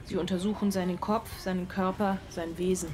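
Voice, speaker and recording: An actress playing an obsessive, distrustful single mother in a radio drama, cold and deliberate. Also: fairly low pitch, steady tone, close to an everyday voice. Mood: cold, suspicious. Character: obsessive, distrustful single mother plotting against her child. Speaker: actress, lead and narrator of a radio play. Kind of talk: German radio drama monologue. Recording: music under the voice.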